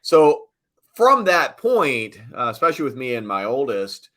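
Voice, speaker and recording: Bright, forceful middle-aged man, casual storyteller. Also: medium pitch, big pitch swings, a little slow. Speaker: sports PA announcer, interview guest. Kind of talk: casual storytelling interview chat.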